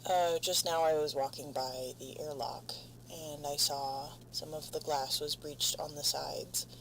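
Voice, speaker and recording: A woman playing a frightened, foul-mouthed stranded spaceship crew member, recording halting, weary log entries in an audio drama. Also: low-pitched, steady tone, slight background noise, rough recording. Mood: fearful, resigned.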